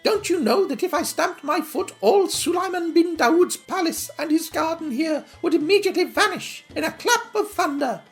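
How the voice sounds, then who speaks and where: A man's voice: extremely high pitch, animated, moderate pace, hardly any pauses, faint music behind, pitched above the performer's own voice. A man voicing a boastful, self-important little male butterfly in a storybook reading.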